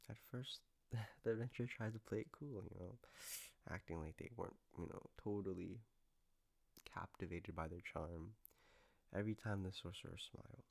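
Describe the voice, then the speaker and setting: A man acting as a doting boyfriend in an intimate comfort roleplay. His voice sits low with plenty of gravelly fry. He speaks tenderly and a little haltingly, with gentle playfulness. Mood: sleepy storytelling. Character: gentle, doting male partner soothing a lover after a nightmare.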